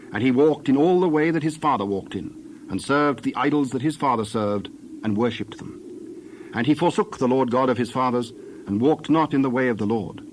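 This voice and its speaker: A man's voice: mid-range voice, a little hiss or hum. A man giving a scripted narration of scripture as the narrator of a dramatized reading.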